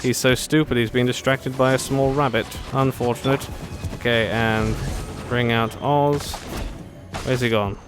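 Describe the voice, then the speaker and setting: A woman's voice: a bass voice, fairly even intonation, unhurried. A woman giving casual, chatty, playful gameplay commentary as a video host, reacting aloud to the characters. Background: faint game music.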